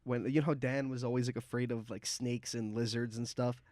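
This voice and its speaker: A man's voice: mid-range voice, fairly even intonation, fairly quick, darkish tone, no echo, clean studio sound. A man co-hosting a podcast in laid-back, teasing banter. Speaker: podcast co-host. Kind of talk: casual chat. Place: basement.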